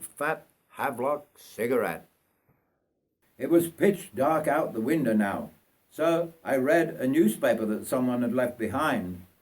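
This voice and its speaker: An older man from North Yorkshire reading his own life story as narration, in a measured, folksy storytelling manner. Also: medium pitch. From North Yorkshire, England.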